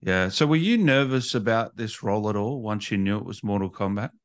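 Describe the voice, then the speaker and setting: A man's voice: lowish voice, animated, fairly quick, dry recording, studio quality. A man hosting a casual interview, asking questions in an informal, chatty way with tag questions. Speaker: interviewer, podcast host.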